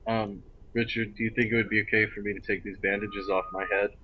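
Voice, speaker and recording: A man with a low, flat voice plays a role play game, talking casually in character. He comes through a narrow, phone-like connection. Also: a little fast. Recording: muffled phone-like voice chat with noise.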